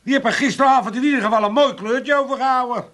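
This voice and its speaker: An actor playing a cheeky, greedy, drink-loving sidekick with an Amsterdam accent in a comic radio play. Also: male voice, extremely high pitch, quick pace, fairly bright tone. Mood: sly.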